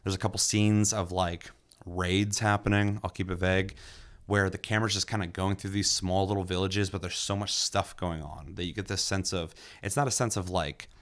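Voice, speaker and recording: A young man casually reviewing a movie in a relaxed, enthusiastic way, with lots of fillers and the odd curse. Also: low voice, normal speed. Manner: loose and enthusiastic, many fillers, occasional swearing. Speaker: movie reviewer, podcast host. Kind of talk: casual film review chat.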